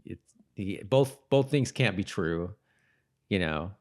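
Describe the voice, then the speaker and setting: A man's voice: deep voice, expressive, takes it slowly. A man co-hosting a podcast in casual TV recap chat, talking in a rambling, self-correcting way. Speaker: podcast co-host.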